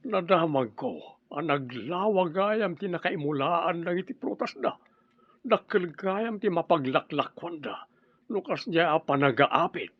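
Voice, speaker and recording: A man's voice: fairly high pitch, animated, measured pace. A man playing a worried, money-minded middle-aged father in an Ilocano radio drama. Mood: reflective. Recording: dull, lo-fi audio.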